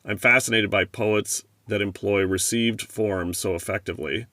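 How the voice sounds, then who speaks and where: A man hosting a poetry podcast, asking a guest a prepared question in measured, admiring words. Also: on the low side, steady tone, sharp tone, dry recording, studio quality. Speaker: podcast host.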